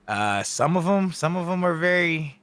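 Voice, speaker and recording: A man co-hosting an esports podcast with a slightly hoarse, raspy voice, laid-back and slangy but energetic. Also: on the high side, normal speed, no echo. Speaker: co-host. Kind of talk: casual esports podcast chat.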